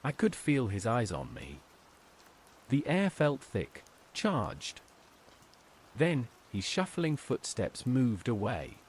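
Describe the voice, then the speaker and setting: Male horror storyteller, mid-range pitch, highly animated and suspenseful scripted delivery, faint rain bed underneath. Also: slight background noise. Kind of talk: dramatic scripted first-person horror narration.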